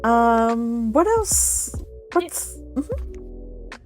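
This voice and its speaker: A woman's voice: on the high side, very animated, extremely slow, soft background music. A young woman from Mexico hosting a book club, chatty and laughing often, with lots of fillers. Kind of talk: casual chat and reading aloud.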